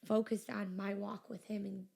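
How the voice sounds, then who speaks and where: Chatty young woman with a gravelly edge. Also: mid-range voice, level tone, normal speed, warm tone. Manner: chatty, lots of "like" and "you know". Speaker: business owner, guest. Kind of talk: casual interview chat.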